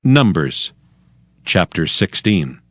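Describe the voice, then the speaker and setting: Low-voiced male scripture narrator. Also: big pitch swings, slow pace, fairly bright tone, no echo, clean studio sound. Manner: formal storytelling.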